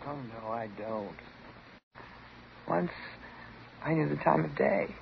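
An actress playing a bitter, neurotic psychiatric patient, sardonic and intense, in a 1950s radio drama. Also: female voice, deep voice, animated, slow, creaky, full character voice. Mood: anguished. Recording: muffled, noisy 1950s radio recording.

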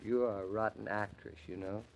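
A man's voice: on the low side, steady tone, brisk, close to an everyday voice. An actor playing a wry, teasing young husband and new father in a 1940s radio drama, given to dry mock grumbling. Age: young adult. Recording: muffled old radio recording.

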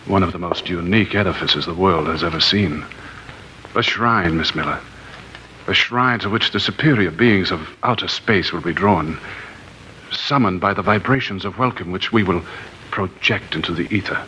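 A man's voice: low-pitched, moderate pace, bright, forceful tone, slight background noise. An actor playing a ruthless, scheming male cult leader in a 1950s radio drama, grandiose when he preaches and threatening when crossed. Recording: dull lo-fi 1950s radio broadcast.

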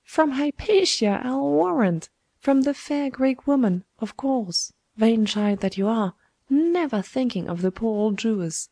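A woman's voice: a little high, animated, a little slow, warm tone, no echo. A woman voicing a sly, domineering old woman schemer in a storybook, with a taunting, wheedling manner. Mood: wheedling, gloating. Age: older.

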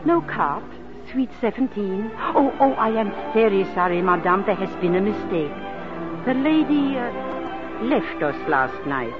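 Polite florist lady, very animated voice, old muffled 1940s radio drama, music behind. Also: medium pitch, measured pace. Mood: polite, flustered. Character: polite, apologetic sanatorium florist shopkeeper.

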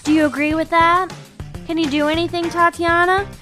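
A woman's voice: very high voice, fairly even intonation, sharp tone, faint music behind, pitched above the performer's own voice. Woman putting on a pushy, raised-voice press reporter. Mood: insistent, probing. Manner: insistent, rapid string of questions. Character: pushy, probing press reporter questioning a young starlet. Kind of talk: acted read-aloud of novel dialogue.

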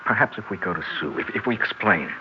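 Unfaithful husband male actor, American, very low voice, weary and persuasive, scheming; muffled 1960s radio drama. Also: animated, fast, background noise, close to an everyday voice. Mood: pleading, tender.

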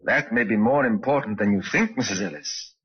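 Husky, measured police inspector. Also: male voice, on the low side. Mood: probing, courteous. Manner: measured, formal. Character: shrewd, polite, unflappable male police inspector. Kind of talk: old-time radio drama acting. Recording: dull old radio recording.

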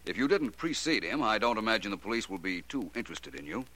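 An actor in a 1940s radio drama playing a suave, unflappable detective, polite, urbane and dryly knowing. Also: male voice, on the low side, animated, fast talker, bright, forceful tone, dry recording, exaggerated voice. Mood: polite, probing.

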